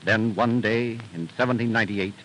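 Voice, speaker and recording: A man's voice: lowish voice, moderate pace, over loud music, poor audio. A man narrating a scripted 1930s radio show as its announcer, in a dramatic, emphatic style on an old recording.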